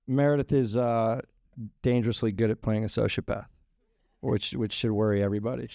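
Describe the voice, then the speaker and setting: Middle-aged man showrunner, wisecracking, warm, smooth voice. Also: on the low side, fairly even intonation, brisk, dry recording, studio quality. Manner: joking, self-mocking. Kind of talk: casual panel Q&A talk.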